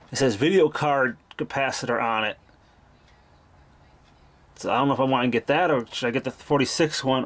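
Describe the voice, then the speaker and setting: A man giving a casual, off-the-cuff repair narration as a hobbyist, plain-spoken and informal with some mild swearing. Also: mid-range voice. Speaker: hobbyist repairer of vintage tape machines. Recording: clearly noisy amateur video recording.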